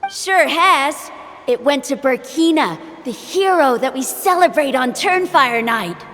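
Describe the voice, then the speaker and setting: An earnest, bossy little kid from a video game. The voice is high and takes its time over each phrase, set in long reverb with music behind. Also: female voice, animated, measured pace, fairly bright tone, a little hiss or hum. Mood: solemn. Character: earnest, bossy young child storyteller of a tribe.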